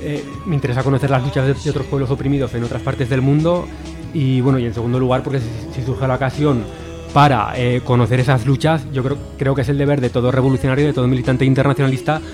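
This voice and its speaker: A man, an activist guest in a radio interview, speaking earnestly with halting restarts. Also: mid-range voice, steady tone, over music.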